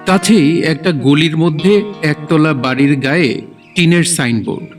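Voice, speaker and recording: Slightly high-voiced male story narrator. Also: unhurried, distant mic, soft background music. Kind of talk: formal literary story narration.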